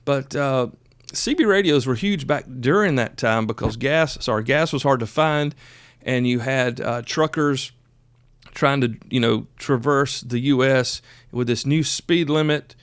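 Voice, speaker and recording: A man's voice: medium pitch, measured pace, smooth, no room sound, studio quality. A man in his fifties from the United States hosting a hobby radio podcast, talking casually in a folksy, chatty, reminiscing way. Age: 50s. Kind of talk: casual informal history talk.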